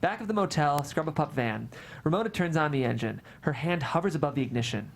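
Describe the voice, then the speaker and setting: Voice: medium pitch, expressive, quick pace, vocal fry, a little hiss or hum. A narrator reading scripted stage directions at a table read of a screenplay.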